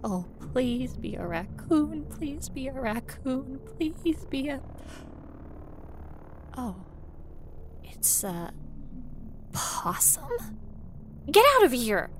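A woman's voice: high-pitched, very animated, drawn-out words, pauses often, heavily acted. A woman playing a nervous, wry paranormal investigator in an acted first-person horror audio drama, talking in a conversational, self-aware way. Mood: panicked. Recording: noisy ambient sound bed behind voice.

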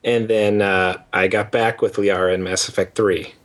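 Young canadian man, low voice, laid-back rambling chat, podcast co-host. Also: level tone, normal speed. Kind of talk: casual chat.

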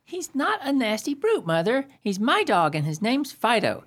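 An excitable young boy of ten played by a woman in a radio play. The voice is high and husky, leaping about in pitch, with childlike insistence and a stubborn streak. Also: moderate pace. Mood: insistent.

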